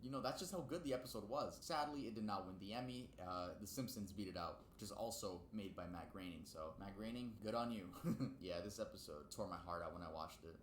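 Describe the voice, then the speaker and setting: A man's voice: lowish voice, a little fast. A young man narrating casual, semi-scripted video commentary. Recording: roomy home recording, clear background noise.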